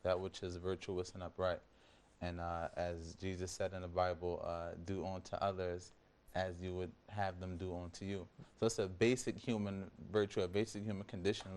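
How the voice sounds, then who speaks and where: A man from Philadelphia, a guest on a studio panel, explaining his views in a measured way. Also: low voice, darkish tone. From Philadelphia, USA. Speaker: panel guest, Arabic student.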